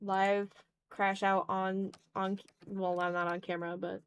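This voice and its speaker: A woman's voice: medium pitch, flat delivery, unhurried, clear, resonant tone, muffled recording. A tired woman chatting casually on an art livestream while she draws, self-deprecating and often trailing off into muttering to herself.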